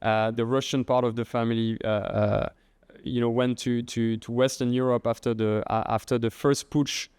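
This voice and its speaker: A middle-aged man from France with a French accent, a guest in a podcast interview, speaking haltingly with many restarts. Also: mid-range voice, flat delivery, a little mellow, clean tone, no echo. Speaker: guest, head of treasury and trading.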